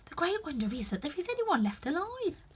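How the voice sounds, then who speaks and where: A woman's voice: extremely high pitch, very animated, a little fast, pitched above the performer's own voice. A woman voicing a polite, curious, slightly uneasy young girl in a storybook, earnest and plaintive. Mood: uneasy, wondering. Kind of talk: children's storybook read-aloud.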